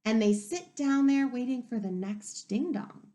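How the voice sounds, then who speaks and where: A woman reading a picture book aloud to young children as a teacher, expressively, pausing to wonder aloud and ask them questions. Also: a little high. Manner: expressive, wondering aloud, inviting listeners to reflect.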